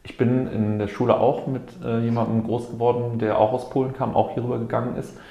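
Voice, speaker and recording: Mellow guy doing a relaxed sports interview, chatty questions, mid pitch, roomy echo. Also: brisk, vocal fry. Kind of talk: casual conversational sports interview. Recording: roomy, echoing sound.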